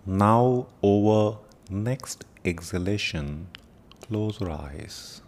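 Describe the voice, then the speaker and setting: A man leading a guided meditation in a solemn, deliberate manner, speaking in short phrases with long pauses between them. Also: on the low side, animated, a little mellow, slight background noise. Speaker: meditation teacher and podcast host. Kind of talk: guided meditation with prayerful invocation.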